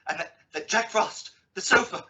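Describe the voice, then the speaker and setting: An actor playing a frantic, broken murderer who stammers and repeats himself in an old-time radio melodrama. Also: male voice, high-pitched, normal speed, bright, forceful tone. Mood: broken, confessing. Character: frantic, broken male murderer confessing his crimes. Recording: dull, distant from the mic.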